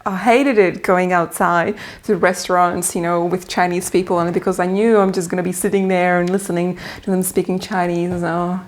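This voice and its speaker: A woman's voice: fairly low pitch, little pitch movement, moderate pace, hardly any pauses. A woman in her thirties speaking in an interview at home, open and a little hesitant. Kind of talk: documentary interview. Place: indoors in a home.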